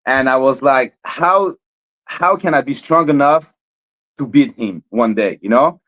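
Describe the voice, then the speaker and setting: A man's voice: medium pitch, unhurried, a bit bright, poor audio. A young man from Montreal, a fighter guest in a casual interview, who stammers and repeats words often. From Montreal, Canada.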